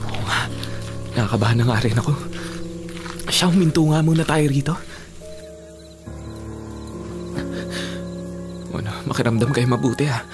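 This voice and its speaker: A man's voice: medium pitch, brisk, music in the background, natural voice. A young man playing a cautious, bossy leader of frightened companions in a radio drama, giving instructions. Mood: wary. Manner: urgent, instructing, animated. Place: radio studio booth. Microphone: studio condenser mic with pop filter.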